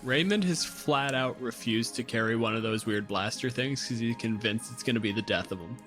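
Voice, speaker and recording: A man's voice: mid-range voice, moderate pace, fairly bright tone. A man casually narrating and refereeing a tabletop roleplaying game as game master. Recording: music playing behind. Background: background music.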